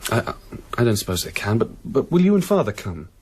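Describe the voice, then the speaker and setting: An actor playing an earnest, defensive grown son in a radio drama, hesitant and tactful. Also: male voice, lowish voice, big pitch swings, fast talker, darkish tone, breathy edge. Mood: coaxing. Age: young adult. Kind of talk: broadcast radio drama acting.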